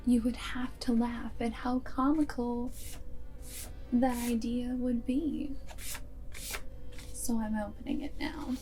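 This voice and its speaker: A woman playing a guarded but secretly fond vampire girlfriend and wartime spy in a soft, whispered ASMR roleplay, speaking deliberately close to the microphone. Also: a little high, little pitch movement, faint music behind, poor audio. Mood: brisk, dry. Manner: hushed, deliberate, often dropping into whisper.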